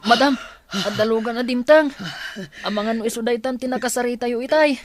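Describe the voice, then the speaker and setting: A woman's voice: a little high, a little fast, lightly acted. A woman acting as a worried young helper in an audio drama, speaking in a concerned, questioning way. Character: worried young helper attending a sick madam.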